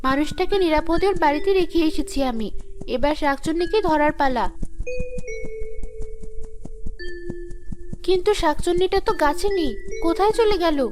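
A young fairy acted by a woman talks in a very high, girlish voice. She is righteous and protective, with a firm, scolding cartoon manner, over clear music. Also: fast. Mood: determined. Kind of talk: cartoon character acting.

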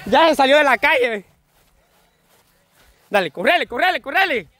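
A Salvadoran man hosting a vlog, cheering on a running game with rapid repeated shouts, recorded on a camera mic outdoors. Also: very high-pitched, lively intonation. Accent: Salvadoran Spanish. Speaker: vlog host filming a group game. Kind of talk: excited live game commentary. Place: outdoor park lawn with crowd.

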